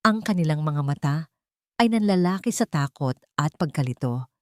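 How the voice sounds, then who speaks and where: A woman's voice: low voice, animated, moderate pace, a little mellow, no echo. A woman narrator reading a scripted dramatic love story.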